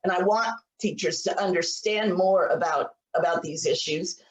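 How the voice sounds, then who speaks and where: A woman's voice: on the low side, moderate pace. A woman in her sixties giving an online biology lecture over slides, earnest and often restarting her sentences. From United States. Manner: earnest, many restarts and repeated words. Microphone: computer mic on a video call. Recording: echoey room, voice a little far from the mic.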